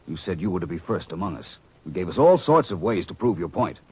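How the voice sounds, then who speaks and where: An actor playing an earnest, anxious disciple in a biblical radio drama, with formal, theatrical delivery. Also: male voice, low-pitched, very animated, quick pace, slightly rough, full character voice. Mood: reproachful. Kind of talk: old-time religious radio drama acting. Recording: dull, noisy old radio recording.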